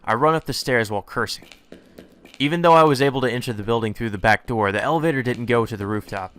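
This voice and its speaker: A man's voice: medium pitch, quick pace, a bit bright, natural voice. A man voicing an earnest young male hero, anxious and determined, narrating his own story in a visual novel. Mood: frustrated.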